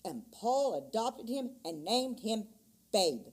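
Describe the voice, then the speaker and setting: A woman reading a children's picture book aloud at a church story time, in a slow, expressive storytelling manner. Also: medium pitch, breathy edge.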